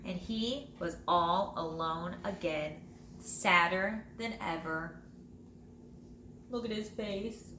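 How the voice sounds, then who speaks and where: A woman teacher reading a children's storybook aloud in an expressive, dramatic way with drawn-out phrases. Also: fairly low pitch. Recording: roomy echo, light background noise.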